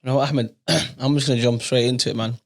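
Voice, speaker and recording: A man's voice: mid-range voice, flat delivery, quick pace. A man from the Netherlands hosting a podcast, asking questions in an informal, chatty way and often repeating his phrases. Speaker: podcast host. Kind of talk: casual interview chat.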